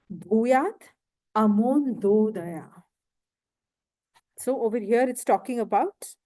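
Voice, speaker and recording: A woman giving a devotional lecture to a temple audience, slow and often asking questions. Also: fairly high pitch, mellow. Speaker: guest lecturer. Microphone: handheld mic, failing at times.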